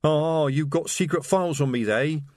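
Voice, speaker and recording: A man's voice: on the high side, expressive, a little fast, dry recording, clean studio sound, voice pushed up, close to an everyday voice. A man voicing a paranoid young revolutionary. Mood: suspicious. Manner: intense, suspicious.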